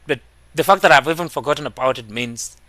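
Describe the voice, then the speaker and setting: A man from Kenya hosting a casual reaction video. He talks in an animated way and breaks into laughter when he makes a point. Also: mid-range voice, fast, no room sound.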